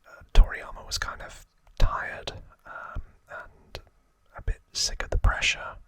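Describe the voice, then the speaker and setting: A man speaking in a breathy, gentle whisper, hosting an ASMR trivia explainer close to the microphone. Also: dry recording. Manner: slow, gentle, hushed delivery. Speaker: ASMR video creator and host.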